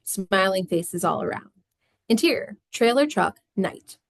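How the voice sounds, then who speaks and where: A woman's voice: medium pitch, lively intonation, a little slow, creaky. A woman reading scripted stage directions as narrator of a screenplay table read.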